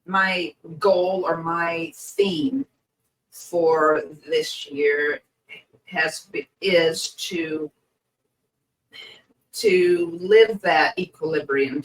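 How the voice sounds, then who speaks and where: A woman co-hosting a podcast, speaking slowly and reflectively with many pauses, her voice a little hoarse and croaky. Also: lowish voice, distant mic, low-quality recording. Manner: hesitant, many pauses and fillers. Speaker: podcast co-host. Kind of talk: slow reflective podcast chat.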